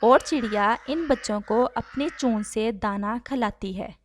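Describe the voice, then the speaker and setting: A woman's voice: fairly high pitch, measured pace, slight background noise. A woman reading scripted narration for a children's cartoon story.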